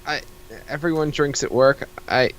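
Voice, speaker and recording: A man's voice: a little high, steady tone, normal speed, a bit bright, a little hiss or hum. A man co-hosting a podcast in casual comedic banter, incredulous and prone to swearing. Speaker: podcast co-host.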